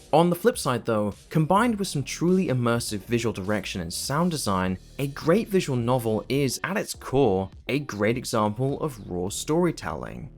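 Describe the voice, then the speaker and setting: A man narrating his own scripted video essay in a wry, self-aware, conversational way. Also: medium pitch. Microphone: voice-over.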